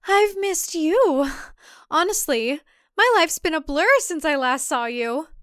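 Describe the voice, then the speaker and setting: Frazzled, submissive young wife, voiced by a woman, very high pitch, emotional, apologetic. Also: animated, slow pace, fairly bright tone, no room sound, clean studio sound, full character voice. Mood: relieved, dazed. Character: weary, submissive, bewildered young wife and mother. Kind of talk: thriller audio drama acting.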